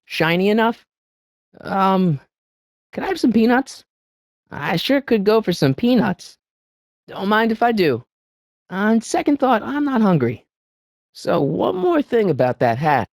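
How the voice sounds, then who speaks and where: A man's voice: sits high, very animated, a little slow, gravelly, pauses often, dry recording, studio quality, full character voice. A man playing an earnest, wisecracking American teenage boy in a video game, with a youthful voice and a casual, slightly hesitant, eager manner. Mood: probing.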